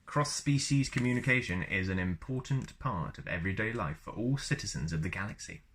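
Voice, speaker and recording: A man reads aloud in a fairly low, bright voice. He narrates like a storyteller and drops in playful, casual asides for young listeners. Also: animated, a little fast, slight background noise. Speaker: storyteller reading a book aloud for a video channel.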